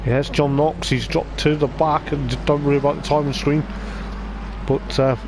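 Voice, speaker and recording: A man with a voice going hoarse, giving rambling live race commentary on a stream, with lots of hesitant fillers. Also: on the high side, level tone, moderate pace, background noise. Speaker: sim racing league commentator.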